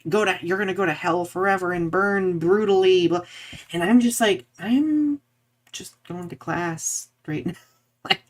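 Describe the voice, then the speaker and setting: A young adult podcast co-host telling personal stories casually, with animated retellings and acted-out reactions. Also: medium pitch. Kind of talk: casual conversational storytelling.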